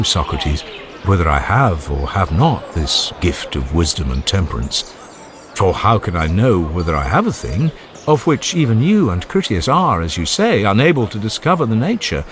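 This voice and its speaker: A man narrating a dramatized classical dialogue in a formal, measured and expressive oratorical manner. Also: low voice, noisy background. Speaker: audiobook narrator. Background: ambient sound effects.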